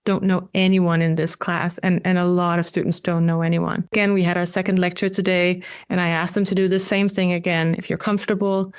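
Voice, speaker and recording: A woman's voice: on the low side, flat delivery, fairly quick, hardly any pauses, clear, resonant tone. A woman from Denmark, not a native English speaker, explains her teaching as a professor guesting on a podcast, with hesitant repetitions.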